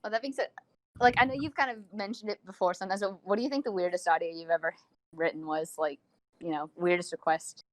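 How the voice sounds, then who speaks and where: A woman's voice: lowish voice, fairly even intonation, fast talker, no room sound. A woman interviewing on a casual podcast in a joking, teasing manner.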